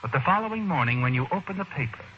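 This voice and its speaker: An actor as the narrator of an old radio thriller, addressing the heroine in insinuating, dramatic, deliberate phrases. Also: male voice, a little high, animated, slight background noise, lightly acted. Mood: ominous. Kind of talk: 1940s radio drama narration in second person. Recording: dull old radio recording, loud music behind. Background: dramatic music.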